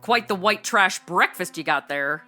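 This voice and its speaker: A woman's voice: on the low side, lively intonation, unhurried, pushed and bright, soft background music. A woman acting as a sassy, flippant modern young woman in a comedy audio drama, sarcastic and exclamatory. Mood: flippant, complaining.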